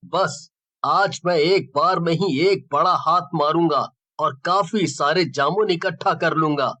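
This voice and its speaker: Greedy, high-voiced young schemer played by a man. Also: a little slow, sharp tone. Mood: smug. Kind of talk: cartoon story acting.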